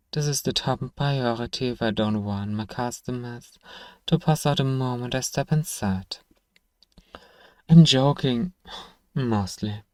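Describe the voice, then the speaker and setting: A man playing a gruff, sardonic but grudgingly kind apothecary shopkeeper in an ASMR fantasy roleplay, dryly teasing and sighing as he talks to one listener. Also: mid-range voice, darkish tone, no room sound, close to an everyday voice.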